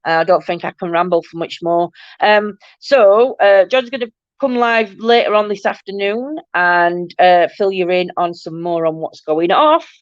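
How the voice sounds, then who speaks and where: A middle-aged woman hosts a casual livestream. Her voice is croaky and a bit nasal, and she rambles affectionately to her viewers. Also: lowish voice, normal speed, hardly any pauses, no echo, muffled recording. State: croaky, nasal from hay fever. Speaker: livestream host. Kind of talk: casual live-stream chat with viewers.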